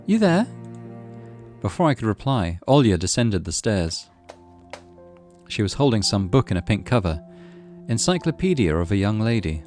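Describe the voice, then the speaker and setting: A man reading visual novel narration as a gaming host, in an expressive, dramatic way. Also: lowish voice, moderate pace. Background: music.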